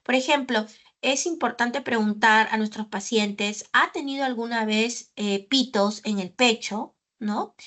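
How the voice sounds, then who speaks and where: Woman lecturing on medicine, slightly high. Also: a bit bright. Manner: didactic, with frequent "¿no?" tags and "eh" fillers. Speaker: pulmonologist giving a lecture.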